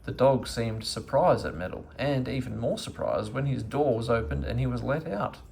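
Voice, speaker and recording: A man narrating a children's storybook aloud in an expressive reading style. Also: mid-range voice, moderate pace, vocal fry. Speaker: storybook reader.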